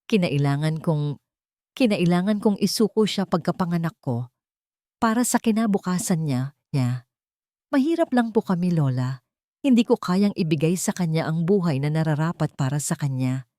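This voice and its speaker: Woman doing a young poor single mom in an audio story, sad, humble, halting and respectful. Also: on the low side, expressive, warm tone, dry recording, clean studio sound, natural voice. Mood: pained, pleading. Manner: halting, pained confession.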